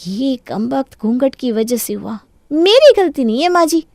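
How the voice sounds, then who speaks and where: A woman's voice: high-pitched, big pitch swings, moderate pace, creaky, darkish tone, no echo, studio quality, heavily acted. A woman voicing a sly, sweetly apologetic young daughter-in-law in a Hindi cartoon story. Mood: mock innocent. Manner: mock-innocent, pleading, sugary.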